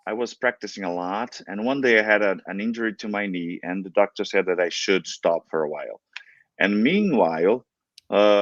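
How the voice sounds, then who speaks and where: A middle-aged man from Brazil, a native Portuguese speaker, talking in a halting way with many fillers and restarts as an interview guest. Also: fairly low pitch, fairly bright tone, no echo, lo-fi sound. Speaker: guest opera singer. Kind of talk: relaxed interview talk.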